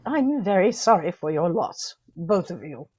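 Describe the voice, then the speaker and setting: A woman's voice: lowish voice, a little mellow, husky, exaggerated voice. A woman playing a formal, authoritative official questioning a witness at an inquest in a radio drama. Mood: sympathetic. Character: formal, probing official presiding over an inquest.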